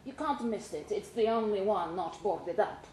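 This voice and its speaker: Woman reading as a curt, mellow cab boss. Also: mid-range voice, moderate pace, natural voice. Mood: offhand. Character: dodgy male minicab boss, curt and casual. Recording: roomy pub recording with faint noise.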